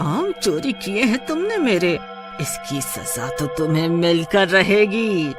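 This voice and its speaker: A woman voicing a vengeful, gloating witch in a cartoon horror story, with a menacing, drawn-out delivery. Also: on the low side, big pitch swings. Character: vengeful, gloating female witch guarding her mango tree. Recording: music playing behind the voice.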